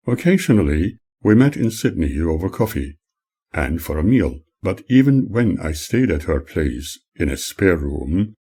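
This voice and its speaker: A man reading his own memoir aloud as scripted narration, in a formal, measured manner. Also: low voice, dark tone, slightly rough.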